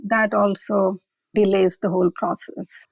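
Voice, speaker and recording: A woman's voice: medium pitch, level tone, a little slow, gravelly, slightly rough, no echo. A woman researcher being interviewed, explaining carefully and often adding "I will say". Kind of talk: conversational expert interview.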